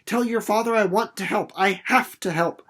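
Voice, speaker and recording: A man's voice: high-pitched, moderate pace, fairly bright tone, voice pushed up. A man voicing an earnest, determined young girl from a storybook, speaking breathlessly and insistently.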